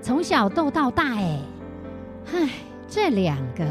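A woman's voice: sits high, big pitch swings, slow, over music, exaggerated voice. A woman playing a fretful, caring mother of two in a Taiwanese Mandarin children's radio drama. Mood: fond, weary. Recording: clearly noisy background.

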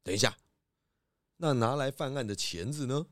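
A man voicing a calm pig detective chief who questions suspects in a children's audio drama. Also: medium pitch, normal speed, noisy background, natural voice. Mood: calm questioning.

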